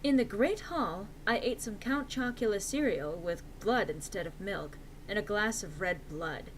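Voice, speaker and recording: A woman's voice: mid-range voice, lively intonation, a little slow, slight background noise. A woman reading a story aloud as narrator in a theatrical, mock-serious and emphatic comic style.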